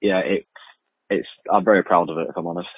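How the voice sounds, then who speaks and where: Deep-voiced, husky young man. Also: steady tone, brisk. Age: young adult. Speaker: interview guest, amateur music producer and podcaster. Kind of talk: casual radio interview answers. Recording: muffled lo-fi, roomy echoing remote link.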